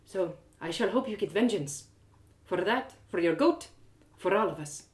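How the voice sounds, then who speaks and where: A woman's voice: mid-range voice, animated, normal speed, roomy sound, lightly acted. A woman voicing a blunt, condescending woman in an audio drama, breezy and patronising. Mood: patronising sympathy.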